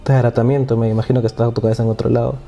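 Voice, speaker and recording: A man's voice: medium pitch, little pitch movement, racing, vocal fry, warm tone, dull audio, soft background music. A man hosting a casual talk about poetry, speaking hesitantly with frequent restarts and tag questions. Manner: hesitant, many restarts and "¿no?" tags. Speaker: host.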